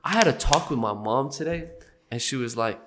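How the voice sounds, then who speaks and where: A young man with a stuffy, slightly nasal voice from a cold talks loosely and jokingly, pitched mid-range. He coughs now and then between his rambling remarks. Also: animated, fairly quick, background noise. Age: young adult. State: congested from a cold. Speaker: podcast host. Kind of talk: casual podcast chat.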